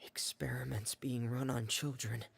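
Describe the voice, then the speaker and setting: Hushed, husky, timid teenage boy. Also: mid-range voice, little pitch movement, a little slow, exaggerated voice. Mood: troubled. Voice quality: husky, breathy. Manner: hesitant, hushed. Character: timid, worried soft-spoken teenage boy. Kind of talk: anime-style video game voice acting.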